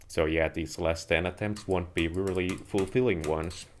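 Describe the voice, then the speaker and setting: A man with a low voice and a Finnish accent talks casually through a gaming livestream. His phrasing is measured and a little formal as he addresses viewers. Keyboard clicks and slight noise sit behind his voice. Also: steady tone. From Finland. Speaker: live streamer playing a video game. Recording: noisy home stream with keyboard clicks. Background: keyboard and mouse clicking.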